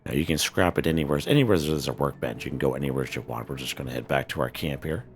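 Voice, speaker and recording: A man with a hoarse, worn voice, casually talking viewers through a game, full of fillers and "okay?" check-ins. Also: extremely low pitch, fast, a little hiss or hum. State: hoarse, losing his voice. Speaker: gaming channel host. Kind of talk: casual gameplay walkthrough commentary.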